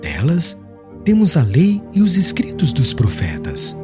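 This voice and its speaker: Guy playing a serene young preacher for an audiobook, formal and firm, very lively intonation, music under it. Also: a little high, unhurried. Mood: solemn exhortation. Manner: eloquent, declamatory, formal. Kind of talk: solemn audiobook oration.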